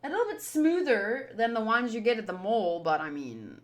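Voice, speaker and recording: A woman livestreamer chatting casually to her viewers in a playful, teasing and animated way. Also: on the high side, normal speed. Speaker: streamer hosting a game playthrough.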